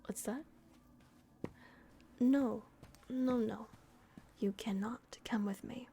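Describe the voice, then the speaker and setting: A woman voicing a gentle, protective female vampire caretaker in a soft, hesitant whisper on a close microphone. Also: a little high, fairly even intonation, slow pace, slight background noise. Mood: gentle, solemn. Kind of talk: whispered ASMR roleplay.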